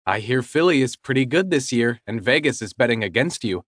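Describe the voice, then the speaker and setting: A man voicing a young girlfriend who is teasing and affectionate, then sharp and questioning. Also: mid-range voice, animated, a little fast, no room sound, clean studio sound, close to an everyday voice.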